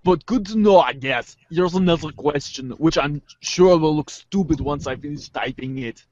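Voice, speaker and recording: A man's voice: on the high side, normal speed, darkish tone, lo-fi sound, natural voice. A man voicing a sardonic, analytical teenage girl with elaborate, pompous wording in an amateur readers' theater. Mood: wry.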